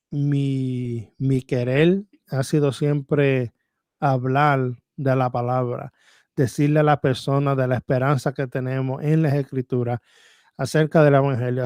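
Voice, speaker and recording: A man, a Bible teacher, talking as a podcast guest in halting, word-searching Spanish that he says is rusty. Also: medium pitch, darkish tone, smooth, no echo, studio quality. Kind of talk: podcast interview testimony.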